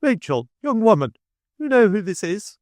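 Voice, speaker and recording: A man reading aloud and voicing a pompous, proper middle-aged woman who is triumphant and officious, in a high, overbearing voice. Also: very animated, normal speed, no room sound, studio quality. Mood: exulting. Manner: shrill, high, stately and overbearing.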